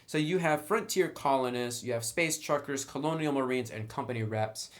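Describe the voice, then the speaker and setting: A man's voice: medium pitch, normal speed. Casual man reviewing tabletop games. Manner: informal, wry sarcastic asides. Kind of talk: semi-scripted review narration with casual asides.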